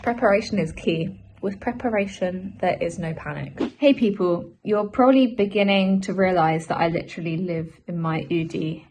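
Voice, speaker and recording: A woman's voice: lowish voice, moderate pace, hardly any pauses, a little mellow. Young British woman, noisy vlog recording. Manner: chatty, self-deprecating, repeats phrases for emphasis. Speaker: vlogger. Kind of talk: casual vlog chat to camera.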